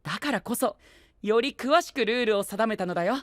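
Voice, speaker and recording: A man playing a prim, rigid young gentleman knight in an anime-style voice drama, formal and pompous, sprinkling in English exclamations. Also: sits high, brisk, faint music behind, natural voice. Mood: insistent, self-assured.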